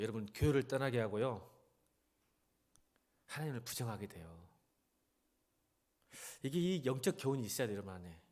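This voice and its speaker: A man preaching a church sermon as a pastor, conversational yet exhorting, often posing rhetorical questions straight to the congregation. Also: medium pitch. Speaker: senior pastor. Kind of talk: church sermon with Bible reading.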